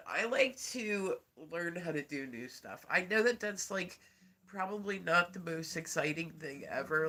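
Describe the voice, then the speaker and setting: A man's voice: fairly high pitch, bright, forceful tone. Congested, nasal man chatting on a livestream. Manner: rambling, many filler words, frequent laughter. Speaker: live streamer. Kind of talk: casual livestream chat.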